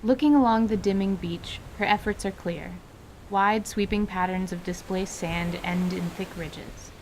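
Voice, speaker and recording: A woman narrating a scripted radio theater story in a measured, descriptive manner. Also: mid-range voice, a little mellow, a little hiss or hum, poor audio.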